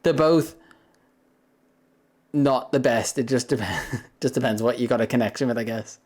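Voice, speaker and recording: A young man with a deep voice chatting casually with viewers on a livestream, rambling and laughing often between thoughts. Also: moderate pace, a little mellow.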